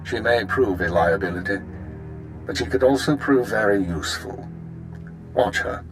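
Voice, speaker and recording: Man playing stern imperial spy boss giving mission briefing in a game, lowish clipped voice, faint music. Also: close to an everyday voice, low-quality recording. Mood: controlled, cautious. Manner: measured, clipped, authoritative. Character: formal, controlled male imperial intelligence handler.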